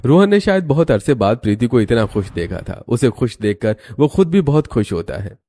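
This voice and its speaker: A man's voice: medium pitch, big pitch swings, moderate pace, mellow. A man narrating a scripted cartoon family story as its storyteller.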